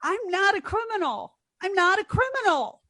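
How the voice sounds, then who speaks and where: High-pitched scatterbrained young woman played by an actress. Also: big pitch swings, normal speed, bright, forceful tone, heavily acted. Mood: fretful. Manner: guileless, dim-witted earnestness. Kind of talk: 1940s radio comedy acting.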